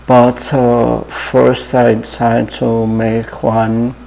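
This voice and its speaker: Slow, gravelly Brazilian man in his fifties. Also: medium pitch, completely flat delivery, warm tone, low-quality recording. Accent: Brazilian Portuguese. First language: Portuguese. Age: 50s. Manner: halting, many fillers and restarts, often adds "yeah". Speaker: channel host. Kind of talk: casual personal introduction.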